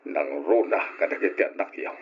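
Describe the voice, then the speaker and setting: An actor playing a stern but sympathetic elderly father and grandfather in an Ilocano radio drama, speaking gravely and emphatically with an Ilocano accent. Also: low voice, animated, moderate pace, slight background noise. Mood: indignant. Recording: dull, muffled old radio drama recording.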